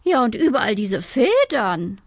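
A woman's voice: a little high, sing-song, measured pace, no echo, studio quality, heavily acted. A woman voicing a fussy middle-aged aunt in a storybook, with an affected, sighing manner. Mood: resigned, disdainful.

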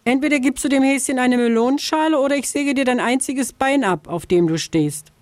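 A woman's voice: high-pitched, brisk, a little mellow, no echo, voice pushed up, close to an everyday voice. A woman voicing a vain, sly female fox in a children's radio story, speaking haughtily and with threats. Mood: scornful.

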